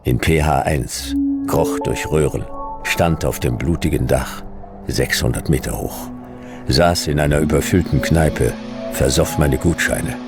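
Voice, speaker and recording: A man playing a world-weary, sardonic fifty-year-old private detective, narrating a noir radio drama in a gravelly, laconic voice. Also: rumbling low, a little slow, loud music behind the voice, exaggerated voice. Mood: bleak, weary. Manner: laconic, dry, clipped.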